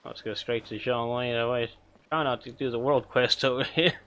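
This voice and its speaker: A man's voice: medium pitch, steady tone, normal speed, a bit bright, clear, resonant tone. A man casually chatting to viewers while streaming a game. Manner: laid-back, chatty.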